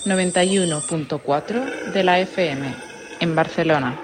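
A woman's voice: lowish voice, a little fast. A woman radio announcer reading out a station identification. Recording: clear background noise.

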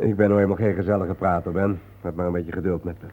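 A man acting an enigmatic, war-haunted guide in a radio play. His voice sits fairly low, and he talks patiently, in measured riddles. The old recording is thin and narrow, with some noise. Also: level tone, lightly acted. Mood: cryptic, patient. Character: calm, enigmatic male war-haunted guide, sparing with words.

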